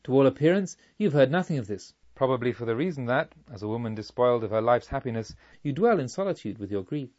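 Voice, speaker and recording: A man's voice: medium pitch, lively intonation, natural voice. Man voicing a dignified robber chief, slightly muffled. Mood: calm, resolute. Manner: measured, formal, quiet. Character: dignified, menacing, remorseful male robber chief. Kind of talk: audiobook storytelling.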